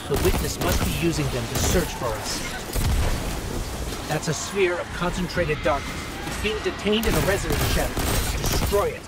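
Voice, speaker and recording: Man voicing an earnest, high-pitched little robot companion. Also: animated, moderate pace, natural voice. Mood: urgent, analytical. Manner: earnest, urgent, expository. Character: small robot companion, earnest, anxious, loyal scout. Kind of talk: video game voice acting. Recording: game music and gunfire behind.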